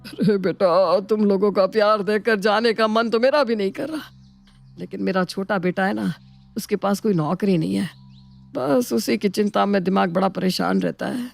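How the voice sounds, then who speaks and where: A woman's voice: mid-range voice, moderate pace, creaky, faint music behind, natural voice. Woman playing an affectionate, effusive elderly uncle. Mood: worried. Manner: affectionate, effusive, repeats words. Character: elderly, grateful, easily flattered village uncle. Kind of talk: animated Hindi moral story dialogue.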